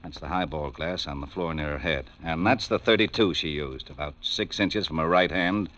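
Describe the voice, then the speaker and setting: A man's voice: rumbling low, normal speed, fairly bright tone. An actor playing a blunt, sceptical, exasperated male homicide lieutenant in a 1940s radio drama. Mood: matter-of-fact. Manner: blunt, sardonic, impatient. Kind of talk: 1940s radio detective acting. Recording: dull old radio recording.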